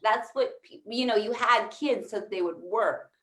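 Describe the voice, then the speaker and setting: A woman's voice: medium pitch, normal speed, fairly bright tone, slight background noise. A woman doing conversational stand-up comedy, rambling and swearing as she interrupts herself, recorded in an echoey room. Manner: rambling, profane, self-interrupting.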